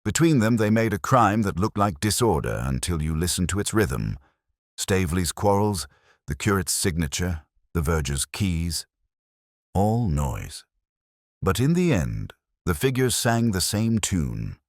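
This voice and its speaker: A man voicing a dry, unhurried police inspector in a detective story, speaking in measured, gently ironic aphorisms. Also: sits low, very animated, dry recording, full character voice. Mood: measured, explanatory.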